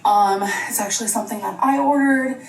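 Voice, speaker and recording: A woman rambles cheerfully to her viewers in a casual vlog. Her voice has a gravelly creak. The room echoes around her. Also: on the high side, brisk. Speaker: vlogger.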